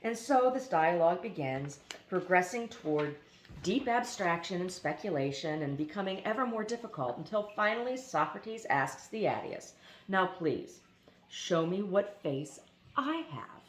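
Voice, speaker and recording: A woman reading a philosophy essay aloud in a deliberate, emphatic way, now and then stumbling on foreign names. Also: fairly low pitch, very animated, slight background noise. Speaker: reader. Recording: echoey room.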